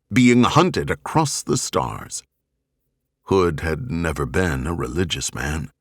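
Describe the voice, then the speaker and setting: Male audiobook narrator, low pitch, grave and measured, deliberate pauses, dramatic fiction reading, close dry sound. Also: fairly bright tone, dry recording. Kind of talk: dramatic scripted narration of a science fiction novel.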